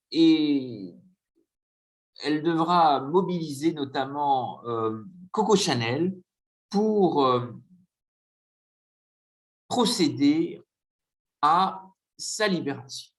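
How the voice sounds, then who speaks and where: A man giving a conference lecture with slides, speaking hesitantly with frequent filler pauses. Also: on the high side, expressive, fairly bright tone, rough recording.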